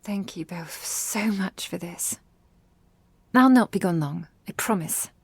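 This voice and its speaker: A gentle vampire sister, acted by a woman in an ASMR roleplay. She speaks slowly in a soft, husky, breathy voice, caring with a scolding edge. The sound is close and dry. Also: lowish voice, animated, no echo, exaggerated voice. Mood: warm, reassuring.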